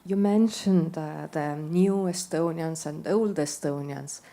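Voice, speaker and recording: A woman's voice: sits low, slow pace, dark tone, a little hiss or hum. A woman in the audience asking a question, hesitant and searching for words.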